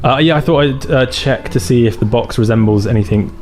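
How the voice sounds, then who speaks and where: A man playing a tabletop game, jokey and quick to laugh, asking the game master questions. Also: medium pitch, darkish tone. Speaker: player. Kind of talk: casual tabletop game chat.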